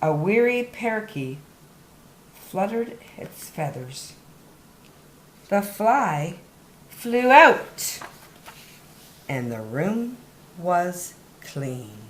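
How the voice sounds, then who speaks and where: A woman with a slightly low voice reads aloud for young children as a story time host. She takes her time and lands key words with dramatic emphasis, her pitch rising and falling widely. The room around her sounds echoey. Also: very animated, extremely slow, pauses often, a little hiss or hum. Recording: roomy, echoey indoor sound.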